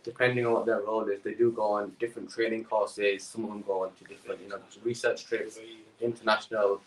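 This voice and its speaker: A man's voice: fairly low pitch, level tone, fast talker. Hesitant man on an echoey remote line. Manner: hesitant, many fillers and repeats. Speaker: perfume business owner. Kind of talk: guest answering interview questions. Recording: echoey and distant.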